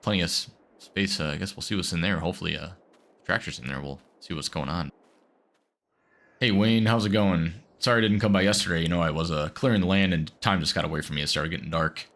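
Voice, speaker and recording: A man's voice: low voice, fast, a bit bright. A man getting over a cold, chatting casually while playing a farming game, rambling with lots of fillers. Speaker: gaming video host. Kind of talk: casual gameplay commentary.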